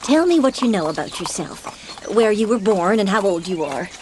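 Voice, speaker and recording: An actress playing a stern, brisk, plain-spoken older farm woman in a dramatised audiobook. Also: medium pitch, animated, slight background noise, close to an everyday voice, poor audio.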